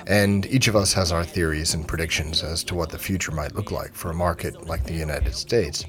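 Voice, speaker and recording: A man with a notably low voice hosts an interview podcast. He speaks in measured, articulate sentences, moving between scripted introduction and questions. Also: fairly even intonation, fairly bright tone, faint music behind.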